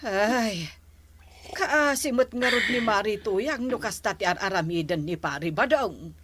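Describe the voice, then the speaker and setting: A woman's voice: medium pitch, lively intonation, a little slow, very noisy, lightly acted. A woman with a Filipino accent acting a sensible, doubting village official in an Ilocano comedy drama. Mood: cautious.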